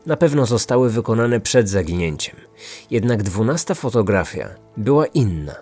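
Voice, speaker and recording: Male podcast narrator, lowish voice, scripted true-crime read over faint music. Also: big pitch swings, moderate pace, warm tone, husky.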